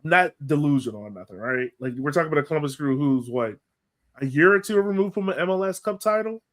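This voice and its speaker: A man's voice: on the high side, expressive, fast talker, a bit bright. A man hosting a sports podcast, giving opinions in casual conversation and tossing in rhetorical questions. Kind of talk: casual sports chat, opinionated game breakdown.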